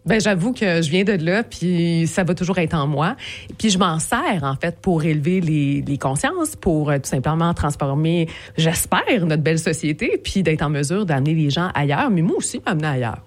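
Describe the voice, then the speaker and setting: Québécois woman guest, lower voice, quick talker, playful storyteller. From Montreal area, Quebec. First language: Portuguese. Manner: lively, quick storyteller who laughs often. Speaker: guest, consultant and speaker. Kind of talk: casual podcast interview.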